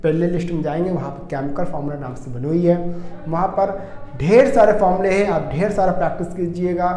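A man's voice: sits high, brisk, warm tone. An Indian man in his thirties giving an explanatory lesson as a teacher, often asking questions and answering them himself. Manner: asks and answers own questions. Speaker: chemistry teacher. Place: indoor room in front of whiteboard. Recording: echoey room with faint noise.

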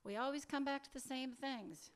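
A young woman reading aloud as a weary, impatient lover, soft-spoken and curt, at a table mic. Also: high-pitched, moderate pace, natural voice. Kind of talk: live fiction reading of dialogue. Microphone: table mic on a stand.

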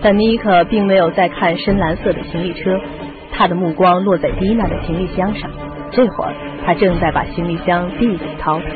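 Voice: mid-range voice, brisk, phone-quality sound. A narrator reading scripted storytelling for a radio drama. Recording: band-limited audio with music.

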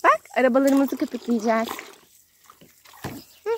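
High-voiced woman in playful child-directed narration. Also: lively intonation, moderate pace, slight background noise. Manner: sing-song, exclaiming, simple short phrases. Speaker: children's video host playing with a child. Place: outdoors on grass in a garden.

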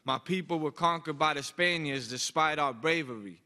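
A young man speaks in a bright, forceful voice pitched a little high. He delivers like a spoken-word poet, rhythmic and emphatic. His voice rings out through a microphone with the long reverb of an auditorium. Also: normal speed. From Boston, United States. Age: young adult. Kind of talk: live spoken-word poetry performance. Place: large auditorium stage, indoors. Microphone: microphone on a stand.

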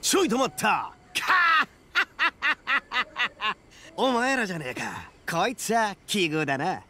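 An actor playing a boisterous, friendly young man in an anime video game, with brash, rough casual speech. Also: high voice, sing-song, slow, bright, forceful tone, slight background noise, full character voice. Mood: hearty surprise.

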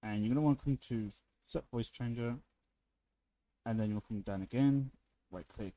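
A man giving a casual, unscripted software tutorial in an informal, offhand way as the presenter. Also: fairly low pitch, poor audio.

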